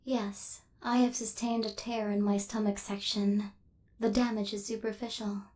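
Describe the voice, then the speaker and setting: A woman's voice: mid-range voice, flat delivery, moderate pace, a little mellow, natural voice. A woman voicing a cold, haughty female killer robot in an ASMR roleplay, speaking in a formal, clinical, stilted way with a hint of hidden fluster. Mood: flustered, softening.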